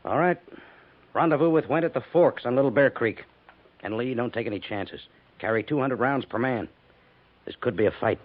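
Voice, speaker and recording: An actor playing a cautious, authoritative senior army major with a husky voice in a 1950s radio drama. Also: male voice, mid-range voice, normal speed, long pauses, natural voice. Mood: concerned, authoritative. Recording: thin, phone-like 1950s radio recording.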